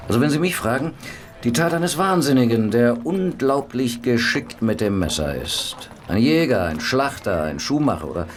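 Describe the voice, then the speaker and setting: An actor playing a dry, unflappable Victorian police doctor in a radio play, matter-of-fact and clinical. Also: male voice, medium pitch, noisy background, lightly acted. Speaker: actor in an audio drama. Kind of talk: German radio play acting.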